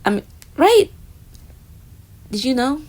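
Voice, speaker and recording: A young woman voicing a flustered, jealous roommate in a romantic audio roleplay, talking straight to the listener and trailing off into soft murmurs. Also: sits high, big pitch swings, takes it slowly, exaggerated voice. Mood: giddy.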